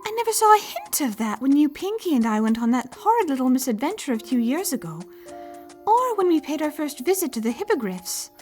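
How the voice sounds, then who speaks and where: A woman's voice: high-pitched, sing-song, brisk, a little mellow, soft background music. A woman voicing a refined, caring female unicorn pony in a fan fiction audio drama, theatrical and affectionate in manner. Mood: curious disbelief.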